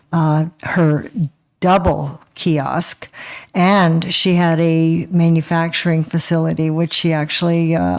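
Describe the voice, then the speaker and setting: A woman councillor speaks slowly and haltingly, with many pauses and fillers. Her voice is low and smooth, and her pitch stays flat as she reports to the meeting. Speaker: town councillor.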